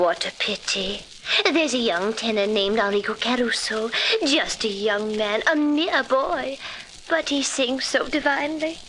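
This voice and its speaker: Gushing, high-voiced Victorian lady. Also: fairly bright tone. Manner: gushing, refined, gracious. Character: genteel, deluded Victorian lady hostess, cheerfully living in past. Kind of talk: 1940s radio acting. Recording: faintly noisy old 1940s radio recording.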